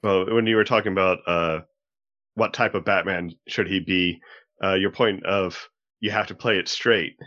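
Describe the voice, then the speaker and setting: A man's voice: deep voice, steady tone, moderate pace, a bit bright. A man taking part in a casual podcast discussion. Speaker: podcast participant. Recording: slightly dull, lo-fi audio.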